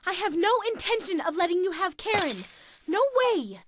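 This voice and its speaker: Very high-pitched, feisty girl fighter. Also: animated, normal speed, heavy background noise. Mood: defiant, indignant. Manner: indignant, sharp exclamations. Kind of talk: scripted anime game dub acting.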